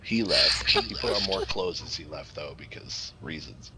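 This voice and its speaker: A man's voice: very deep voice, normal speed, sharp tone, a little hiss or hum. A man improvising a crude comic story to a group, swearing freely and rambling in a mock-serious way. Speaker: storyteller, host.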